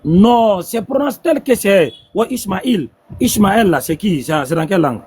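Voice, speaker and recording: A man's voice: sits high, expressive, normal speed, a little mellow, husky. A man in a heated religious debate, pressing his opponent with insistent, repetitive questions.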